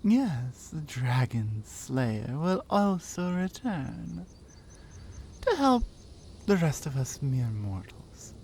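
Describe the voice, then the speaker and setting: A woman's voice: deep voice, big pitch swings, slow pace, a little mellow, slight background noise, heavily acted. A woman acting a bold, teasing Nordic warrior in an intimate ASMR roleplay, with a Nordic accent and a playful, confident manner. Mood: intrigued, teasing.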